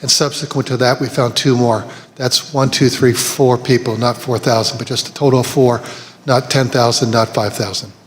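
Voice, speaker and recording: A man's voice: medium pitch, steady tone, moderate pace. A man giving testimony at a hearing, answering firmly and methodically, listing figures one by one. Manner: firm, methodical, lists figures. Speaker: testifying official. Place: large hearing room. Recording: long hall reverb with faint ambience.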